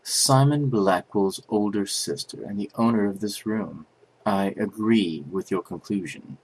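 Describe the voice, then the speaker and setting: A man plays a composed, formal prosecutor in a game fandub. His voice is on the low side. He speaks in a measured, dignified way. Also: a little hiss or hum, low-quality recording. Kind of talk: amateur game fandub acting.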